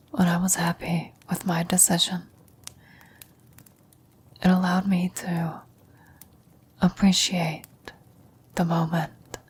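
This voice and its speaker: A woman playing a frail, dying old woman in a whispered ASMR roleplay, gentle, wistful and philosophical, speaking slowly and haltingly. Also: on the low side, full character voice. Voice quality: breathy whisper. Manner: slow, halting, reflective delivery with long pauses.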